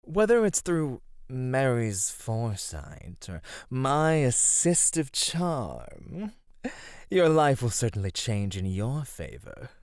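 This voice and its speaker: A man's voice: mid-range voice, huge pitch swings, takes it slowly, a little mellow, no room sound, studio quality, full character voice. A man voicing a sly, flirty immortal god of beauty in an ASMR roleplay, teasing and coaxing without hurry. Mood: gentle, amused.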